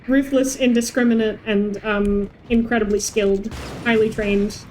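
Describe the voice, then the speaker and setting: A woman's voice: fairly high pitch, level tone, moderate pace, a little mellow, poor audio. A woman chatting casually and wryly while she plays through a video game on stream. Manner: playful, wry. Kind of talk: casual playthrough chat.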